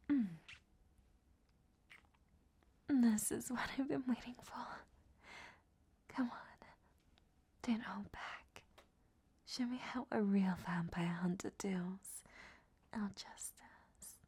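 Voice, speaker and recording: Casting: actress as a seductive captive vampire woman for whispered ASMR roleplay, soft dark tone, unhurried, teasing delivery. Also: fairly high pitch, full character voice. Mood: teasing, eager. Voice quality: breathy whisper. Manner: slow, sultry, teasing. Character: flirtatious, teasing, captured female vampire.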